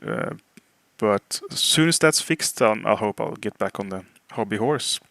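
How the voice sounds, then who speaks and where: A man's voice: fairly low pitch, expressive, measured pace, creaky, fairly bright tone, no echo. A man from Norway with a Norwegian accent, a native Norwegian speaker hosting a casual podcast in English, hesitantly searching for words. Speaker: podcast host.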